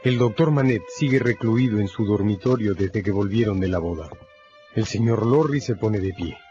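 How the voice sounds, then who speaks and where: A male narrator with a low voice tells a radio drama in scripted, dramatic style. Music runs behind him and the audio is slightly dull. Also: moderate pace, warm tone.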